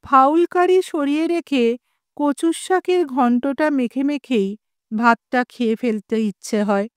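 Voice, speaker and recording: A woman narrating a scripted story in a measured, expressive way, as an audio storyteller. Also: high-pitched, smooth, dry recording.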